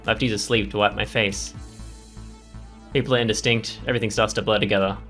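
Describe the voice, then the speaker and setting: A male let's player reads visual novel text aloud in a quick, bright voice of middle pitch, with his own reactions. Faint game music sits behind him. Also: steady tone.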